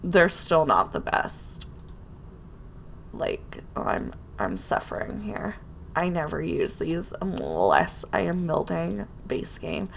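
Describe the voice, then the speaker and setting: Congested, gravelly-voiced woman narrating a build. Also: on the low side, big pitch swings, slow pace, a little hiss or hum. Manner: chatty, self-deprecating, talks to herself while building. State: congested from a cold, nasal. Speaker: Sims building video creator. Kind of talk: casual real-time build commentary.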